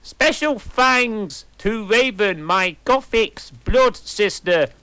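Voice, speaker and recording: A man's voice: sits high, expressive, takes it slowly, sharp tone, clean tone, dry recording, pitched above the performer's own voice, full character voice. A man voicing a ditzy teenage girl author, airy and drawn out.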